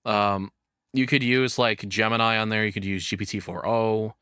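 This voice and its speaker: Male reaction streamer, lowish voice, loose hesitant phrasing, off-the-cuff, dry close sound. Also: fairly even intonation, normal speed, sharp tone, clear, resonant tone, no echo. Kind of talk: casual off-the-cuff reaction commentary.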